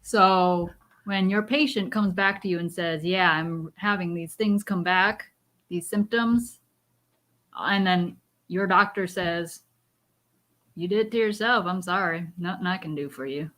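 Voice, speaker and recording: A woman's voice: on the low side, unhurried, a bit bright. A woman co-hosting in casual chat, wryly acting out imagined dialogue as she makes her points. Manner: wry, acts out imagined dialogue. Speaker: co-host.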